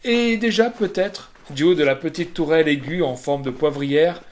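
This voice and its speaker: A man narrating a literary short story in a dramatic, expressive manner. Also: a little high, moderate pace. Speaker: audiobook narrator.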